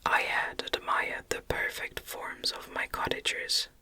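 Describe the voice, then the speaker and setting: A woman reading a gothic novel aloud in a gentle, measured ASMR whisper. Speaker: audiobook narrator.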